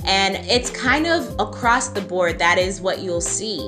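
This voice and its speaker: A woman's voice: mid-range voice, expressive, unhurried. A woman introducing her own documentary in an earnest, reflective way. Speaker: documentary host. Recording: music bed underneath.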